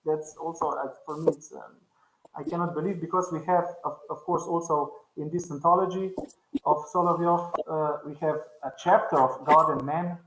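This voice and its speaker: A man whose first language is Slovenian speaks in a slightly high voice, with a hesitant academic manner full of restarts and pauses. The sound carries a roomy echo, as in a conference hall. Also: fairly even intonation, background noise. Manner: halting, hesitant, many restarts. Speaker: conference panelist. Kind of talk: academic discussion. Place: conference session.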